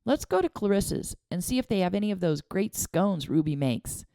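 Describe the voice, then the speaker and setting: A woman's voice: medium pitch, lively intonation, moderate pace, dry recording, clean studio sound, voice pushed up, close to an everyday voice. A woman voicing a kind, earnest young boy who comforts a friend. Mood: reassuring. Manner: earnest, gently reassuring.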